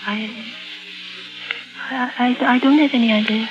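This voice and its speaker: A dull old radio recording with music behind carries an actress as a fragile, tormented woman painter. Her voice sits high. She speaks haltingly and intensely, in the dramatic manner of 1950s radio suspense. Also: steady tone, natural voice. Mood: guarded, uneasy. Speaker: actor.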